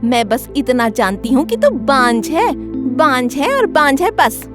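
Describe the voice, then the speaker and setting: A woman voicing a scornful, bullying female deer in an animated fable, sneering and cutting. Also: sits high, unhurried, over music, pitched above the performer's own voice.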